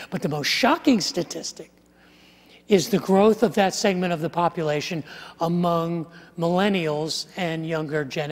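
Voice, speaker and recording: An older man preaching a sermon, walking through statistics with animated gestures and wry asides, on a headset mic on a large church stage. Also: sits high. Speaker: pastor. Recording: live sermon with long hall reverb.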